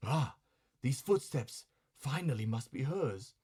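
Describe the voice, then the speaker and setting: A man's voice: medium pitch, animated, slow, a little mellow, husky. A man playing a grumbling, hot-tempered, vain male customer in a comic story, blustering with sarcastic, colloquial indignation. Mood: grumbling, impatient.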